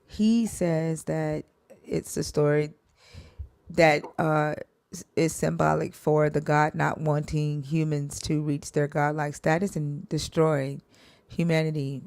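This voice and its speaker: Low-voiced woman hosting a live stream. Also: little pitch movement, a little mellow, dry recording. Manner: halting, many fillers and restarts.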